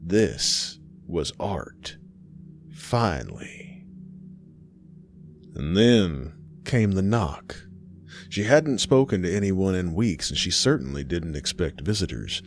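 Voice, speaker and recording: A man telling a scripted horror tale as a folksy fireside storyteller and host, with dramatic pauses. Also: low voice, sing-song, a little hiss or hum.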